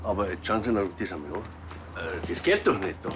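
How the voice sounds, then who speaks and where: An actor playing a grumbling, flustered sports-ground caretaker with a Bavarian accent and a halting manner. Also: male voice, deep voice, lively intonation, music in the background. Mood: flustered, subdued.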